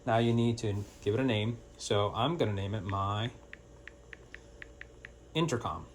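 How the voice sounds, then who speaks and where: A man's voice: lowish voice, measured pace, a little hiss or hum. A man presenting an informal step-by-step product tutorial in a plain-spoken, hands-on, instructional way.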